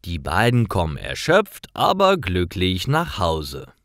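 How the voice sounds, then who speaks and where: A man narrating simple scripted stories for language learners in a careful, expressive way. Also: sits low, takes it slowly, no room sound, studio quality. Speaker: storyteller for German learners.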